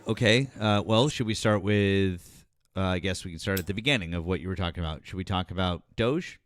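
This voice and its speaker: A man's voice: deep voice, brisk. Pointed, insistent man in his forties. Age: 40s. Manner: pointed, presses the caller with repeated questions. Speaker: host. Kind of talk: live-stream political debate with a caller.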